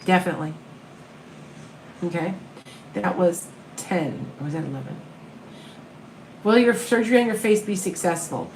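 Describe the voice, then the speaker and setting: A woman from the American Midwest, with a Midwestern accent, reading tarot live and answering viewer questions in a calm, soothing, reassuring way. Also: fairly low pitch, normal speed, pauses often, roomy sound, a little hiss or hum, rough recording. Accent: Upper Midwest American. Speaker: tarot reader and medium.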